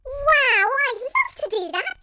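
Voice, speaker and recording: Squeaky, very high girl piglet. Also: sing-song, slow pace, sharp tone, no room sound, studio quality, full character voice. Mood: thrilled.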